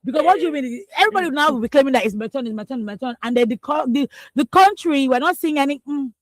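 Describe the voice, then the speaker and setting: A woman's voice: high-pitched, very fast, dry recording. A young Nigerian woman co-hosting a radio newspaper review, reading headlines and then giving emphatic, exclamatory opinions. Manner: animated, emphatic, exclamatory, laughs between points. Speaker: radio news review co-host. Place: radio studio.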